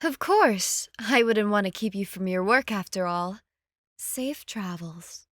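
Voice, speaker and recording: A regal queen played by a woman in an audio drama, wry and a little condescending. She speaks slowly and deliberately, with lively swings in pitch, on a dry, close recording. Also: dry recording. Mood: gracious. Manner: measured, haughty, teasing.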